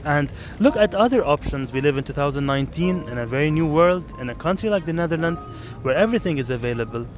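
A man from Kuwait who is being interviewed outdoors talks earnestly and thoughtfully, often pausing with fillers. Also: on the high side, expressive, faint music behind. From Kuwait, Lebanese family. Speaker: interview guest. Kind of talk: street interview. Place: outdoors at a city square monument.

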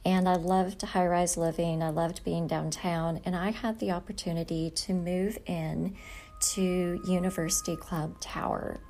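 A woman's voice: on the low side, steady tone, a little slow, dark tone, slight background noise. A woman casually telling a personal ghost story in a conversational, emphatic way. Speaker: storytelling contributor.

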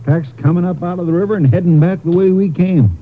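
Folksy higher-voiced sheriff played by a man, old radio. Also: fast, lightly acted. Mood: puzzled. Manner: folksy, questioning. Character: plain-spoken, puzzled male county sheriff. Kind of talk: 1950s radio drama acting. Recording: noisy, muffled 1950s radio recording.

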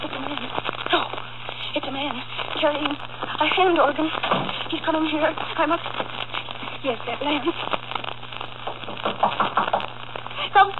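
A woman's voice: high voice, a little slow, long pauses. An actress playing a frantic, frightened woman in an old radio drama, breathless and overwrought, repeating short phrases. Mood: tense fear. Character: terrified, frantic woman on the run from police. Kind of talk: 1940s radio drama acting. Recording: scratchy, crackling, tinny old radio recording.

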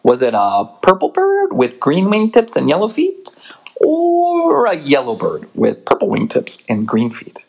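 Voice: mid-range voice, huge pitch swings, unhurried, creaky, a little mellow, breathy edge, exaggerated voice. A storybook reader voicing a father bear who is confused and asks question after question. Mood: puzzled. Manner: puzzled, questioning. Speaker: storybook character voiced by the reader. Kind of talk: storybook reading aloud.

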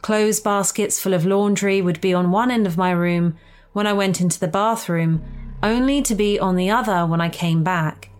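A woman's voice: mid-range voice, moderate pace, clear, resonant tone. A woman reading a first-person ghost story as scripted narration. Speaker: story reader.

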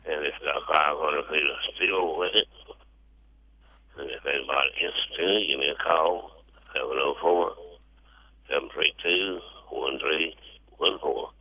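A man's voice: extremely low pitch, unhurried, pauses often, no room sound. A man calling in to a radio show over the telephone, plainly listing things in a matter-of-fact way. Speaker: phone-in caller selling items. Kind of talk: plain listing of items for sale. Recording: muffled telephone line on radio.

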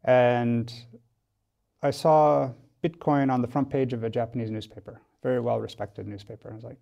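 A man's voice: mid-range voice, fairly even intonation, normal speed, creaky, mellow, lo-fi sound. An American man speaking as an interview guest in a studio, explaining things thoughtfully with a hesitant delivery. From United States, Wisconsin. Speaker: podcast guest, tech company founder. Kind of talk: casual interview talk.

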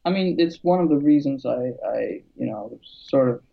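A man's voice: medium pitch, animated, normal speed, gravelly. A man from Albania, a music producer and YouTuber, chatting casually with many fillers and restarts. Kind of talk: casual conversation. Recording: dull lo-fi sound.

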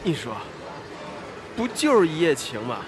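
A whiny, drunken cheating boyfriend, high-voiced and wildly swinging in pitch. He complains petulantly over music in an audio drama. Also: sing-song, a little slow. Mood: indignant, petulant.

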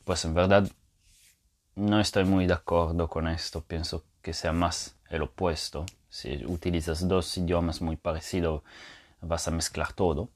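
A man's voice: deep voice, fairly even intonation, a little slow. A man teaching as a podcast host, explaining step by step and thinking aloud, with frequent fillers and restarts. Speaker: language teacher.

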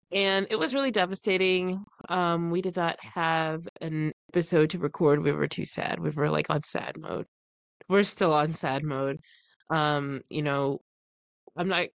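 A woman's voice: low-pitched, no room sound. A young woman with a stuffy, congested voice from the flu, co-hosting a casual podcast, laughing often and talking loosely. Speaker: podcast co-host.

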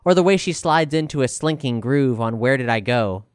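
Clear-voiced man reading a music review. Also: medium pitch, expressive, moderate pace, dry recording, studio quality. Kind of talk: scripted narration, music review.

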